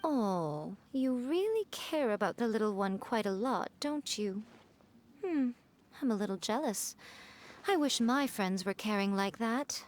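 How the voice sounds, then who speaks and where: A woman voicing a sly, elegant fox spirit in a video game, teasing and coaxing in an unhurried, playfully condescending way. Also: medium pitch, animated, a little hiss or hum, lightly acted. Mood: teasing, soothing. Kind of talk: video game character dialogue.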